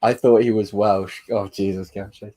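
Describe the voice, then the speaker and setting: A man's voice: lowish voice, steady tone, normal speed, mellow. A young man hosting a live stream, chatting casually with dry, teasing banter and frequent laughter.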